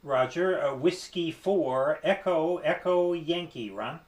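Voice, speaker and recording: A man talking casually as an amateur radio operator, with hesitant, halting phrasing. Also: a little high, very noisy. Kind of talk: casual ham radio conversation. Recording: echoey, roomy sound.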